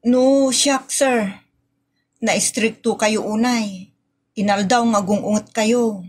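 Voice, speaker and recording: A woman's voice: on the high side, steady tone, slow pace. A woman with an Ilocano Filipino accent playing an earnest employee pleading with a strict boss in a comedy audio drama. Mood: pleading, complaining.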